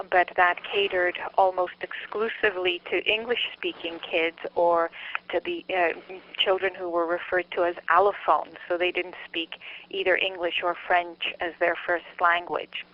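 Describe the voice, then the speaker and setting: Middle-aged woman, Canadian accent, native Czech speaker, reflective interview answers, fairly even intonation, muffled recording. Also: on the low side, unhurried. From Montreal, Canada. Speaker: researcher, interview guest. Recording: dull lo-fi interview audio.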